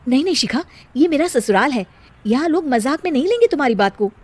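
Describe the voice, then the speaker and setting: A woman voicing a sensible young married elder sister in a cartoon moral story, earnest and gently chiding. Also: high voice, animated, fast talker, pitched above the performer's own voice. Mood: worried.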